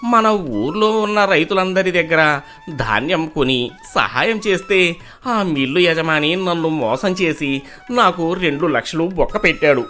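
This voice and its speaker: A man's voice: on the high side, a bit bright. A man voicing village traders and farmers in a dramatised moral story. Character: male villagers in a moral tale: traders, mill owner, wronged farmer.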